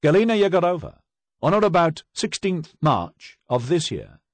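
Man putting on a loud stern Soviet prosecutor. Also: a little high, sing-song, moderate pace, no room sound, clean studio sound, voice pushed up. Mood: accusing. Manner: loud formal accusing speech.

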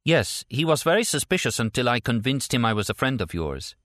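Man as woman character, higher pitch, tender, worried, teasing, audiobook dialogue, animated intonation. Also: a little fast, dry recording, studio quality. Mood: earnest, troubled. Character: frightened, teasing, tender American woman on a phone call.

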